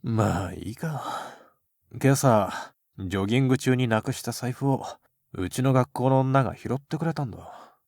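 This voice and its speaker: A man playing a cool, curt high school boy with rough, blunt speech in an anime-style voice drama. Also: moderate pace, darkish tone, no room sound, studio quality, close to an everyday voice. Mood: grumbling. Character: cool, gruff high school heartthrob boy, secretly flustered. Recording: music behind the voice. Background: background music.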